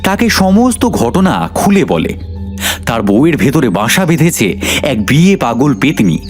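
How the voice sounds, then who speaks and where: Male cartoon storyteller, lively delivery. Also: medium pitch, unhurried, soft background music. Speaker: narrator. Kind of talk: dramatic cartoon storytelling narration.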